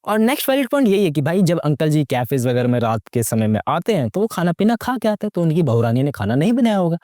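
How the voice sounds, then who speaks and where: Animated, high-pitched young man, sarcastic. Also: fast, dry recording, studio quality. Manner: slangy, mocking, rhetorical questions, Hindi mixed with English. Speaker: YouTube movie reviewer. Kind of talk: casual, sarcastic movie commentary.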